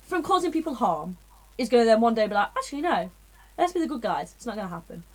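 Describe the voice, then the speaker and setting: A woman's voice: a little high, big pitch swings, quick pace, rough recording. A young woman co-hosting a casual podcast chat, rambling and digressing with frequent giggles. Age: young adult. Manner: rambling, self-interrupting, giggly. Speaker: podcast co-host.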